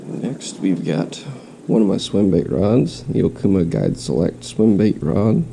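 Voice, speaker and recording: Deep gravelly man with a stuffy nose. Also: big pitch swings, a little slow, mellow, slight background noise. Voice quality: nasal. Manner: rambling, with restarts. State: stuffy nose, slight cough. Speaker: fishing vlogger. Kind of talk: casual show-and-tell. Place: home, in quarantine.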